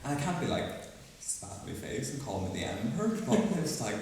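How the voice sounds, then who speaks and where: A man's voice: normal speed, a loud crowd. A man with a Derry accent, telling stories in a casual panel discussion and mimicking other people's voices. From Derry, Northern Ireland. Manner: animated storyteller, mimics others with high voices. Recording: echoey hall, distant mic, faint hiss.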